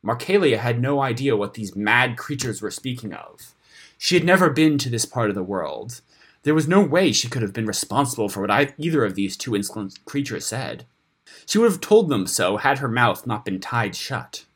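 A man reading a fantasy tale aloud in a dramatic storytelling style, with a husky voice. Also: medium pitch, animated, normal speed, fairly bright tone. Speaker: story reader on a podcast.

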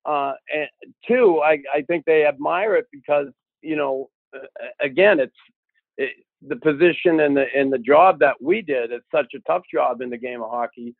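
An older man with a Boston accent, a guest on a podcast, telling stories in a gruff, casual way with frequent restarts. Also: on the high side, normal speed, dry recording. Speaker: podcast guest, former hockey enforcer. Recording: tinny phone-like line, dry.

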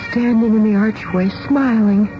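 An actress playing an anxious, tender middle-aged mother who narrates a radio drama in a measured, suspenseful way. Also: fairly high pitch, fairly even intonation, highs cut off, close to an everyday voice. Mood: stunned. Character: anxious, tender mother of a bride-to-be, telling the tale. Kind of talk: radio drama acting with first-person narration. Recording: band-limited radio recording with music behind.

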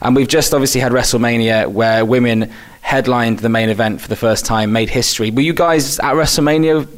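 A man's voice: mid-range voice, steady tone, fairly quick. Chatty English-sounding male podcast host. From Wales. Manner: chatty, self-deprecating, hesitant with "uh". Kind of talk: casual interview.

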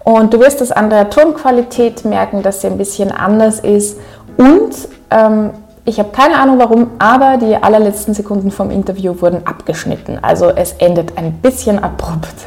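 A woman's voice: mid-range voice, moderate pace, darkish tone. A young woman with an Upper Austrian accent, hosting a podcast and chatting to listeners with frequent ums. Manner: chatty, frequent ums, friendly direct address. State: recovering from a cold. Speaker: podcast host. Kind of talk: solo podcast intro.

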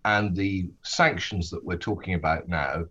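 A British man speaking as a politician in an interview, making his case in an earnest, measured way with hesitant phrasing. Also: low voice, bright, forceful tone, breathy edge, muffled recording.

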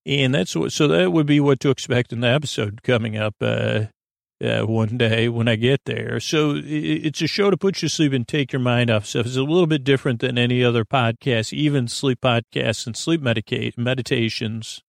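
Creaky-voiced man rambling through a sleep podcast. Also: mid-range voice, no echo, studio quality. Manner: meandering, halting, goofy, self-deprecating. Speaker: podcast host.

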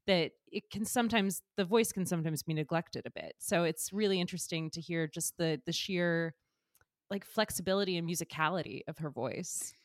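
A woman's voice: on the low side, normal speed, no room sound, clean studio sound. A woman co-hosting a podcast, reflecting at length and asking questions, laughing often. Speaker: podcast co-host. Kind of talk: casual podcast chat.

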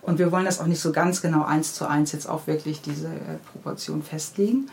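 Middle-aged female author, lowish voice, friendly conversational storytelling in an interview, some background noise. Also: steady tone, a little fast, darkish tone. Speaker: interviewed children's book author. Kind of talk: casual interview, explaining and storytelling. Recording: clearly audible background noise.

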